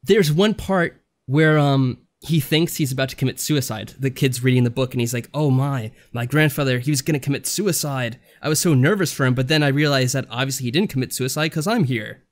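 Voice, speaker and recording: A man's voice: mid-range voice, a little fast, few pauses. A man co-hosting a podcast over a voice call, talking casually in a mild, somewhat hesitant, informal way. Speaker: podcast co-host. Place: remote voice call.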